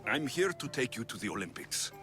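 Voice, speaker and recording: An actor playing a gruff, impatient young Spartan mercenary in a game, speaking in a curt, stern and sardonic way. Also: male voice, medium pitch, sing-song, fairly quick, bright, forceful tone, soft background music. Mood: businesslike.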